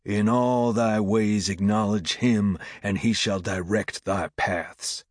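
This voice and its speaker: A man voicing a fervent, commanding revival preacher in an audiobook, with declamatory, drawn-out oratory. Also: fairly low pitch, fairly even intonation, dry recording. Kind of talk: old-time revival sermon.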